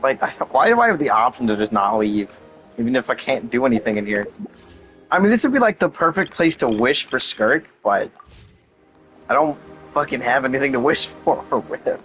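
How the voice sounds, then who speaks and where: Young male streamer: average pitch, casual play-by-play, faint game music behind. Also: sing-song, normal speed, a bit bright, low-quality recording. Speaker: gaming streamer. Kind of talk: casual gameplay commentary.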